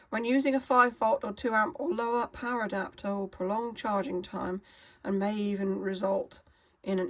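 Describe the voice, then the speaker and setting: Hoarse woman with a cold. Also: mid-range voice. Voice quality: wheezy. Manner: halting, apologetic, many fillers and restarts. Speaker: vlogger. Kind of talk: casual product explainer.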